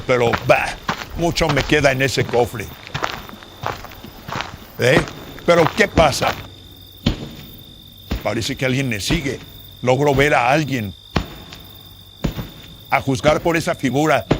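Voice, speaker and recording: A man's voice: medium pitch, noisy background. A man playing a sly, greedy notary with florid, old-fashioned courtesy and a processed voice in a horror radio drama. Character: sly, greedy, flattering male notary, gambler and rogue. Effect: voice processed with filters. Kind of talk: theatrical horror radionovela acting.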